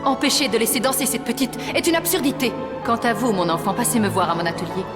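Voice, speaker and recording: An actress plays a refined female ballet mistress for a cartoon. Her voice sits fairly high and is precise and formal, demanding yet kind. Music plays clearly behind her. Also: fast, close to an everyday voice. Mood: stern, commanding. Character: elegant, exacting yet kindly female ballet teacher. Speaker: voice actor. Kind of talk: French animated series dubbing.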